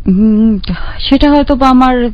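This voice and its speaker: A woman's voice: high voice, a little slow, no room sound, dull audio. Hesitant woman recalling her past. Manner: hesitant, many fillers and restarts. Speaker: guest telling her life story. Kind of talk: casual interview storytelling.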